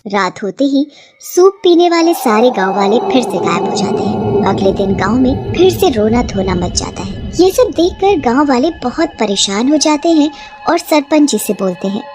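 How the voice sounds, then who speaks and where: A woman storyteller reading scripted narration for an animated horror tale. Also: a little high, moderate pace, over music.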